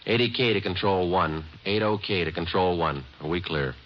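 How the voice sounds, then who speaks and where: Very deep-voiced deadpan American police detective, played by a man. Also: normal speed, a little hiss or hum, close to an everyday voice. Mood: businesslike. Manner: deadpan, matter-of-fact. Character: terse, businesslike male police detective sergeant. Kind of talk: 1950s radio detective drama, clipped narration. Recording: muffled old radio recording with music.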